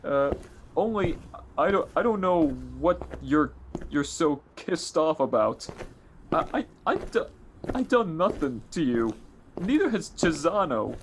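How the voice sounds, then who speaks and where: A man's voice: on the high side, sing-song, measured pace, slight background noise. A man playing a dim, shifty, defensive ex-con in a 1940s-style detective radio play, stammering and talking in slang. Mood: aggrieved. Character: dim, shifty, defensive male small-time ex-con crook.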